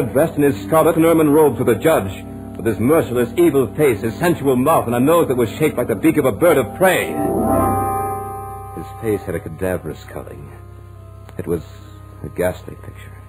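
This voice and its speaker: An actor playing an anxious student who narrates his mounting dread in a dramatic, deliberate 1940s radio horror style. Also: medium pitch, natural voice, low-quality recording. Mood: horrified. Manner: dramatic, deliberate, suspenseful delivery. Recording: old 1940s radio recording, music behind. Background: dramatic musical score.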